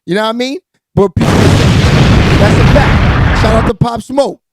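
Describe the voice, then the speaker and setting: Raspy New man hyping a stream. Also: very high-pitched, normal speed, very noisy. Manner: hyped, slangy, frequent shout-outs to viewers. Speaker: live-stream entertainment news host. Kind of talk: casual hip-hop gossip commentary.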